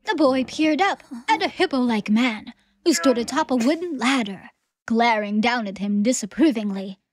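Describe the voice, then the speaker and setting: A woman's voice: on the high side, normal speed. A woman reading scripted fantasy storybook narration as an audiobook narrator.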